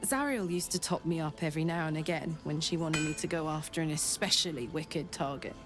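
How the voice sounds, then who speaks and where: A woman's voice: sits low, brisk, close to an everyday voice. Woman acting a hearty, blunt British soldier woman. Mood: upbeat. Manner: chatty, blunt, earnest, expressive. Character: hearty female infernal-engine-hearted soldier escaped from the hells. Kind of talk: video game character acting. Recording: game audio with music behind. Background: music.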